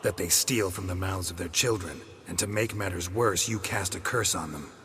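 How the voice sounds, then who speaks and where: An actor playing a gruff, dry-witted monster hunter in a video game, with a husky, deadpan, laconic delivery. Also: male voice, deep voice, normal speed, dry recording, heavily acted. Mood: dry, unimpressed. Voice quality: husky, raspy. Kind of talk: video game character acting.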